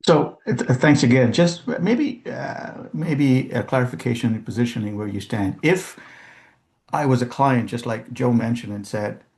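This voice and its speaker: Male participant, methodical questioner, fry in the voice. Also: mid-range voice, measured pace, a little mellow. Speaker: panel participant asking a question. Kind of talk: meeting question.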